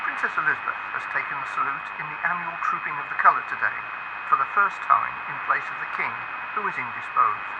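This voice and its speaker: An actor playing a formal, measured 1950s British radio newsreader, heard through an old wireless set. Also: medium pitch, dull audio. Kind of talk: 1950s radio news announcing. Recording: very noisy old wireless broadcast.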